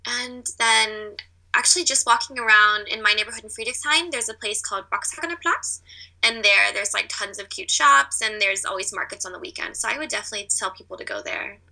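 Casting: young American woman, interview guest, very bright forward voice with vocal fry, chatty and easygoing in delivery. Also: mid-range voice, brisk, poor audio. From Virginia, United States. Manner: chatty, laughs easily. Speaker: interview guest, artist living abroad. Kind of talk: casual interview chat.

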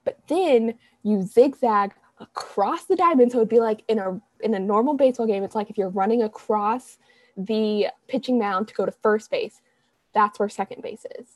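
A woman's voice: medium pitch, normal speed, dry recording. A young woman co-hosting a podcast, talking casually in a rambling way, correcting herself often with lots of fillers. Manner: rambling, self-correcting, chatty with frequent fillers. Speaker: podcast co-host. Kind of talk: casual chat.

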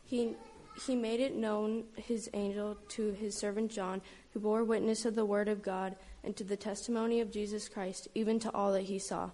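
A woman reading scripture aloud in a church service, steady with a few small stumbles. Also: mid-range voice, moderate pace. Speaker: scripture reader.

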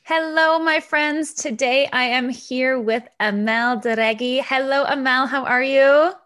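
A woman's voice: high-pitched, measured pace, a bit bright, clear, resonant tone. A woman hosting a conversational interview with an upbeat, encouraging manner. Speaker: podcast interview host. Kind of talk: introducing a guest, conversational interview.

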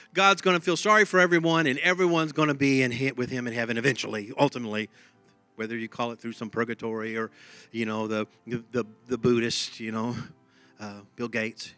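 A man's voice: mid-range voice, fairly even intonation, a little fast, sharp tone, dry recording, soft background music. A man with a Texan accent preaching a sermon in an emphatic, conversational way, often repeating his phrases. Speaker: pastor.

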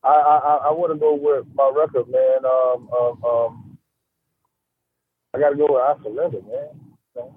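A man giving a casual radio interview as a guest, talking haltingly and peppering his speech with "you know" and "man". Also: mid-range voice, steady tone, breathy edge, no echo. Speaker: guest, rapper and music business man. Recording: tinny phone line.

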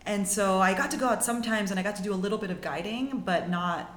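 A woman's voice: medium pitch, fast, a bit bright, reverberant. A young woman in her twenties from Mexico, telling her story as a podcast guest in a chatty, upbeat way full of "like"s and restarts. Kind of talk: casual storytelling.

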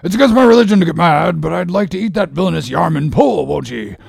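A man's voice: a little high, expressive, fast talker, creaky, darkish tone, husky, dry recording, studio quality, pitched above the performer's own voice, heavily acted. A man voicing a rowdy whaleboat mate in an audiobook, urgently taunting and rallying his crew. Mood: goading, rowdy.

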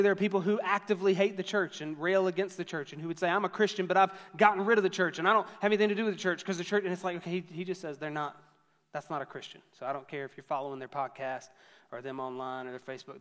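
A man's voice: a little high, fairly even intonation, fast talker, fairly bright tone, in a large hall. A man in his thirties preaching a sermon to a church, conversational and storytelling with self-deprecating humor. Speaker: pastor.

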